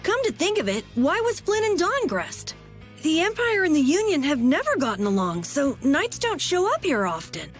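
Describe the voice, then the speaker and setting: An excitable child adventurer in a video game. The voice is very high and bouncy, earnest and emphatic in a childlike way, over faint music. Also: female voice, moderate pace. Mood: curious.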